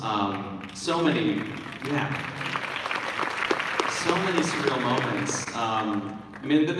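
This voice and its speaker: A man answering as a voice actor on a stage panel, speaking earnestly and reflectively in a halting, casual way. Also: medium pitch. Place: large convention hall stage, audience recording from afar. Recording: very echoey hall from far off, faint noise.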